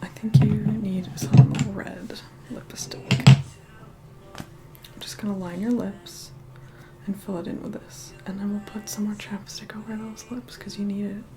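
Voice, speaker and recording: A woman playing a reluctant, haughty vampire in a whispered ASMR roleplay, hushed, bossy and begrudging. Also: medium pitch, flat delivery, a little slow, over loud music, close to an everyday voice.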